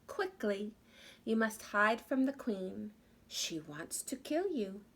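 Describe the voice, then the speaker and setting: A woman's voice: medium pitch, very animated, slow, a bit bright, breathy edge, heavily acted. A woman voicing a kind woodman in a children's storybook, urgent and pleading. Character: kind woodman urgently warning a young princess. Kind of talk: children's storybook read-aloud.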